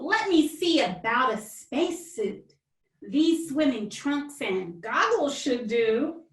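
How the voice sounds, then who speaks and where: A woman author speaking expressively and deliberately, as in a storybook read-aloud for children. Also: high voice, fairly bright tone. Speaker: children's author, guest reader. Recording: roomy, distant microphone.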